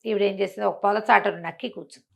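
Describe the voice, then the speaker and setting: Expressive woman telling a story. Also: medium pitch, fast talker, gravelly. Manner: expressive, explanatory, acts out characters' dialogue. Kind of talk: conversational bedtime storytelling to an interviewer.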